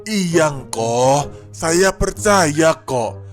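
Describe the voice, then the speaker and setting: A man voicing a concerned, firm but frail elderly cleric in a cartoon, with deliberate, polite speech. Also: fairly high pitch, lively intonation, faint music behind. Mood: shocked, firm. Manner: deliberate, polite, pious exclamations. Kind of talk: animated cartoon dialogue.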